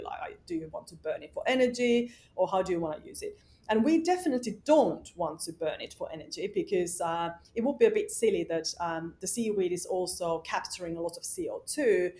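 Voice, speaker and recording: A woman's voice: medium pitch, animated, fairly quick, darkish tone. A woman, a company founder talking emphatically and outspokenly in an interview, with lots of "uh" and "you know". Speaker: company founder and CEO, guest. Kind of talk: casual interview conversation.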